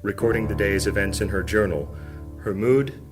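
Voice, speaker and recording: A man narrating a high fantasy audio drama in a measured, solemn manner. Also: over music, close to an everyday voice.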